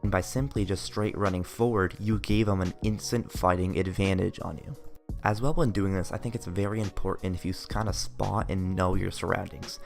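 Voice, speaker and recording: A young man with a raspy, tired voice giving earnest gaming tips in a late-night voice-over. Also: sits low, moderate pace. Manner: earnest, advice-giving, loose phrasing. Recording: faint music behind voice. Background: faint background music.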